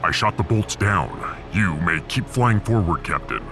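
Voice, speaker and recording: A man's voice: deep voice, animated, a little slow, music in the background. A man playing a proud, battle-hungry barbarian starship gunner in a sci-fi audio drama, speaking formally and stoically. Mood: battle-focused.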